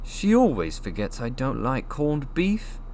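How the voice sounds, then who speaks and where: A man acts out a young boy wizard from a storybook. He grumbles and runs himself down, yet stays animated, with big swings in pitch. A clear hiss of noise sits in the recording. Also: measured pace. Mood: sheepish. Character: young boy wizard, glum, self-deprecating, eager to impress.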